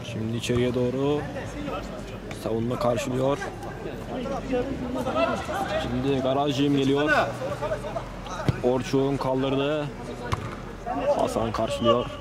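A man's voice: steady tone, slow, pauses often, a loud crowd. A man giving terse play-by-play commentary on an amateur night match outdoors, in short clipped calls. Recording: loud shouting players behind. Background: players shouting on pitch.

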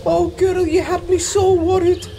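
A woman's voice: very high-pitched, level tone, unhurried, far from the mic. A woman playing a frantic village mother who pleads anxiously. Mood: pleading. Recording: noisy recording.

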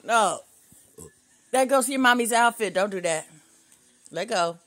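A middle-aged American woman chatting casually with a small child at home, coaxing and gently scolding from behind a handheld phone. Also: on the high side, expressive, measured pace, slight background noise. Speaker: caregiver filming a toddler at play. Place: cluttered carpeted living room.

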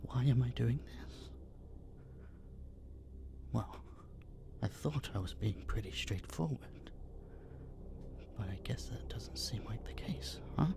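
Man acting as a whispering, obsessive masked kidnapper. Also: medium pitch. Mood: coolly possessive. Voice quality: breathy, gravelly whisper. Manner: slow, dominant, cooing and teasing. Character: possessive, obsessive masked male kidnapper, coaxing and menacing. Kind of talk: intimate whispered roleplay audio drama.

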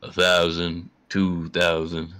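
A man voicing a huge old dragon in a comic dub, measured and dryly threatening. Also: sits low, completely flat delivery, fairly bright tone, clear, resonant tone. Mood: dry, calm menace. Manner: measured, dry, unhurried.

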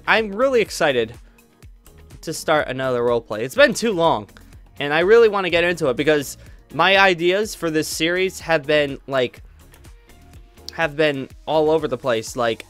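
A man's voice: on the high side, lively intonation, normal speed, fairly bright tone, clear, resonant tone, faint music behind. A young man chatting casually to his viewers, rambling with many fillers and restarts. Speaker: YouTube roleplay creator.

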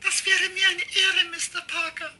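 A very high-pitched female publisher played by a woman in a crime radio play. She is polite, stern and businesslike. Clear noise sits behind her voice. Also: level tone, moderate pace. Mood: pleased, admiring. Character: polite but stern female New York publisher, admiring fan. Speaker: publisher on a phone call. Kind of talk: amateur radio play dialogue.

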